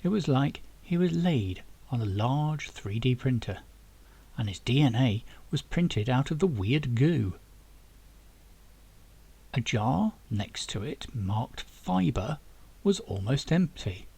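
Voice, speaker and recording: A man's voice: mid-range voice, lively intonation, takes it slowly, vocal fry, a little mellow, breathy edge. A man narrating a fiction audiobook as the author reading his own novel.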